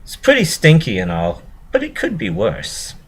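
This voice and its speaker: A cocky young pony girl, acted by a man, lifted well above his natural pitch. The delivery is competitive, boastful and casually dismissive, in a narrated cartoon fan story over faint noise. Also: huge pitch swings, moderate pace. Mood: feigned apathy.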